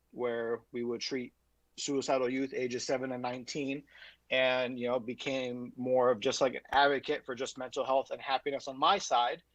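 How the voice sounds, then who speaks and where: A man's voice: mid-range voice, no echo, rough recording. A man being interviewed as a podcast guest, talking casually and rambling with many fillers and restarts. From Utah, USA.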